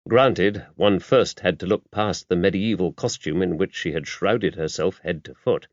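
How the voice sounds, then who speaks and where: A man reading mystery fiction as an audiobook narrator, in a measured, expressive storytelling style. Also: low voice, no echo. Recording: slightly dull, dry audiobook recording.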